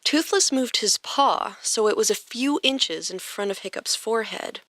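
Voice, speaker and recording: A woman's voice: fairly high pitch, lively intonation, normal speed, fairly bright tone, no echo, clean studio sound. A woman hosting a podcast and narrating a story expressively from a script.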